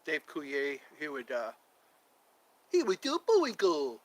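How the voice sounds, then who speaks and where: Rambling middle-aged man, lively voice. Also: fairly high pitch, a little slow. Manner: rambling, jokey, tries out voices. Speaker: vlogger. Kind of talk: casual rambling monologue.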